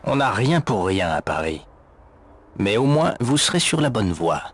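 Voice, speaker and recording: Evasive male café manager, played by a man for a game dub: sardonic, hesitant, highly animated intonation. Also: measured pace. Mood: uneasy, evasive. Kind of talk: video game fan dub acting. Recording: faint background noise.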